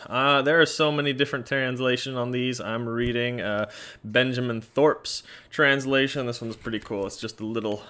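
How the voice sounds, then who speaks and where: A man's voice: mid-range voice, a bit bright. A man with a Norwegian accent explaining in a casual, chatty way, blunt and often laughing, with many fillers. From Norway. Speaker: educator presenting a series.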